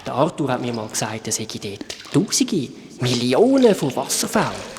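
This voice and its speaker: A man's voice: mid-range voice, big pitch swings, moderate pace, background noise. A man with a Swiss German accent playing a friendly shape-shifting creature from another world who patiently explains things in a children's radio play. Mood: kind, explaining.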